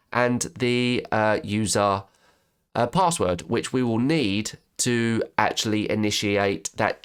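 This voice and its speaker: Man with a stuffy, croaky cold voice. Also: mid-range voice. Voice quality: slightly croaky. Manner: chatty, restarts and self-corrects while clicking. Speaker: web design tutor. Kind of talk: screen-recorded software tutorial.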